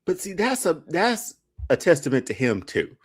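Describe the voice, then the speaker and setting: A man co-hosts a podcast with a slightly high voice that swings widely as he gets excited. He talks casually and often rushes his words. His voice rises and drops sharply within a sentence. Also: husky. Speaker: podcast co-host. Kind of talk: casual chat.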